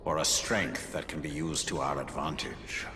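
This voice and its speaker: An actor playing an imperious, ruthless elven wraith in a video game, with a grave, commanding delivery. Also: male voice, rumbling low, fairly even intonation, normal speed, background noise. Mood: grave, calculating. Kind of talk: video game cutscene acting.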